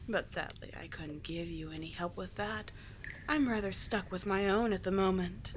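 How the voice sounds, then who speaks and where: Sea witch, played by a woman: lowish, slow, soothing and courtly, gently teasing, ASMR roleplay with noisy ambience behind. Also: natural voice. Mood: knowing, soothing. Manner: soothing, formal endearments, unhurried. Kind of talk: scripted ASMR roleplay monologue.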